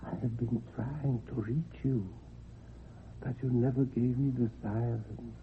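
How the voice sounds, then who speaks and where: An actor playing a calm, hypnotic male spirit from another world, in old-time radio drama style. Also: medium pitch, lightly acted. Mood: solemn. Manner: slow, solemn, hypnotic, reassuring. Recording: dull lo-fi old radio recording, hiss.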